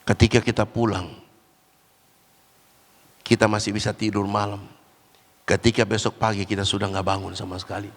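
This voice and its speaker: A man preaching a Pentecostal sermon while fighting a cold and cough, swinging between loud, raised exhortation and low, quiet asides. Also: little pitch movement, normal speed, creaky, long pauses. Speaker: guest preacher. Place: large church hall. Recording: church hall with long reverb.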